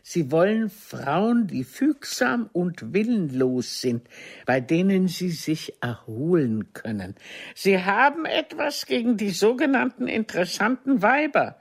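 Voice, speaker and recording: An actress playing a proud ageing stage diva in a German radio play, reproaching a former lover in a husky, theatrical, cutting way. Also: female voice, medium pitch, lively intonation, unhurried. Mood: indignant. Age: older. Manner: theatrical, cutting, formal address.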